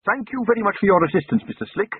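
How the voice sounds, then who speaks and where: A man's voice: high-pitched, sing-song, very fast, breathy edge, voice pushed up, exaggerated voice. A man voicing a polite, earnest young male officer who speaks courteously and formally. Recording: tinny phone-like sound.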